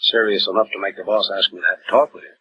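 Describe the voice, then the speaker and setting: An actor in an old-time radio drama playing a steady, plain-spoken league troubleshooter who probes like a concerned friend. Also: male voice, low-pitched, racing, slightly rough, heavily acted. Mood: probing. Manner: plain-spoken, direct, clipped. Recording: dull, noisy old radio recording.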